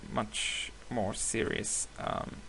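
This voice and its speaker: A man whose first language is Hebrew, giving a screen-recorded software tutorial with many hesitations and self-corrections. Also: extremely slow, slight background noise. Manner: apologetic, laughs at himself.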